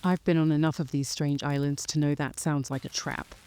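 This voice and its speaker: Actress as a firm, mellow-voiced seafaring woman. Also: deep voice, steady tone, a little fast, smooth, close to an everyday voice. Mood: wary. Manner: firm, cautioning. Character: cautious, tough-minded seafaring woman. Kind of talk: audio drama acting.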